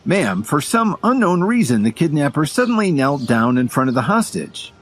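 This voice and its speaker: Audio drama police officer, acted by a man: dutiful, formal, clipped, lively inflection. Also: a little high, moderate pace, a little hiss or hum, pitched above the performer's own voice. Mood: urgent.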